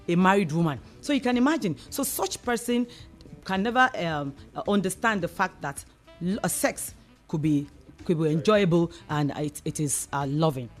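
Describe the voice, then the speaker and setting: A woman's voice: on the low side, darkish tone. A woman with a Nigerian accent speaking as a discussion guest, explaining earnestly and repeating phrases for emphasis. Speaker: discussion guest, community advocate.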